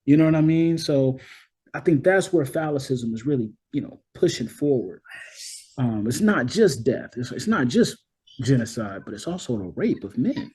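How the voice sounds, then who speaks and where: Mellow, slightly husky man explaining ideas. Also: mid-range voice, big pitch swings, moderate pace. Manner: emphatic, checks in with "right?" and "you know what I mean?". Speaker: interview guest, scholar. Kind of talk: conversational explanation of social theory.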